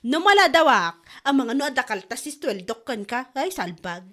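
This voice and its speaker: A woman's voice: sits high, very animated, moderate pace, fairly bright tone, full character voice. A woman with an Ilocano accent playing a chatty, feisty young waitress in a comedy audio drama. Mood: sassy.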